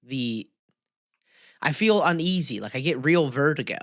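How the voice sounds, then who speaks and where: A man's voice: on the high side, animated, measured pace, fairly bright tone, no room sound, clean studio sound. Casual, slangy male podcast co-host. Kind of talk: casual comedic banter.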